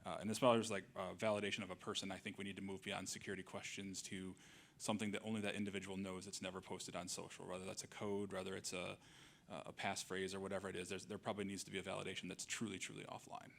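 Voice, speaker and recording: Fast, flat-voiced man on a panel. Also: sits low, creaky, a little hiss or hum. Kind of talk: panel discussion. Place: event hall, on stage. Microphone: handheld mic.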